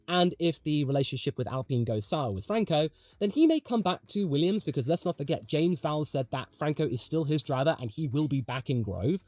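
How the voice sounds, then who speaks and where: A man's voice: on the high side, darkish tone, dry recording. A man presenting opinionated motorsport commentary in a part-scripted, conversational video essay style, with emphatic delivery and rhetorical asides.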